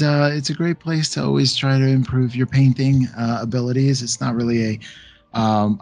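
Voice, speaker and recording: A man guest on a hobby podcast, telling stories in a relaxed, laid-back way and laughing often. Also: mid-range voice, no echo. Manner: storytelling, laughs often, acts out quotes. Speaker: podcast guest, painting channel creator. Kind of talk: casual podcast chat.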